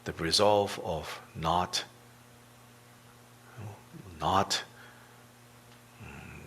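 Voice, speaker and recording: Very deep-voiced man leading slow meditation. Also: sharp tone, a little hiss or hum. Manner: deliberate, with long pauses between short phrases. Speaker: meditation teacher. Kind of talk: guided meditation instruction.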